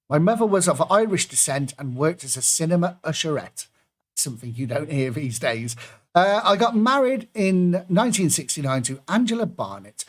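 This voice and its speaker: A man hosting an online pub quiz, reading out clues and adding jokey asides between them. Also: on the high side.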